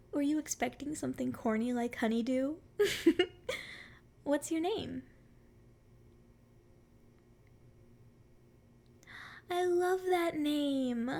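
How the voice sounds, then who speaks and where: Casting: female performer as a sweet, playful forest fairy for ASMR roleplay, very high voice with a creaky edge, teasing and curious. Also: a little mellow, exaggerated voice. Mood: warm, hospitable. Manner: sweet, flirty, teasing endearments. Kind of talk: ASMR roleplay, addressing the listener.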